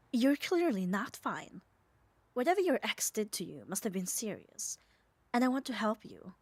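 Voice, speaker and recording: A woman's voice: medium pitch, breathy edge, no echo. A woman playing a muscular, possessive yet caring yandere in an ASMR roleplay, speaking with coaxing, tender firmness. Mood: firm, concerned. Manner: coaxing, firm, tender reassurance. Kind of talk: ASMR roleplay audio drama.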